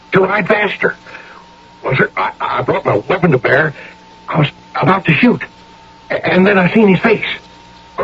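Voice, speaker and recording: An actor playing a frightened, plain-spoken old army sergeant in a radio drama, stammering in folksy, ungrammatical speech. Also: male voice, high voice, vocal fry, full character voice. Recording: dull, noisy old radio recording.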